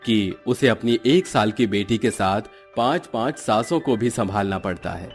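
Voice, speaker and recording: A man's voice: on the low side, moderate pace, soft background music. A man narrating a scripted cartoon story in a storyteller's role.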